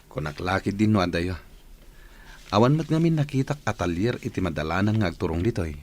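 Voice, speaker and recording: A man's voice: deep voice, lively intonation, fairly quick, a little mellow. A man playing an eager, genial engineer in a radio comedy drama. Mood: businesslike. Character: eager, lovestruck male engineer, genial boss, marriage-minded. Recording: faint vehicle noise behind.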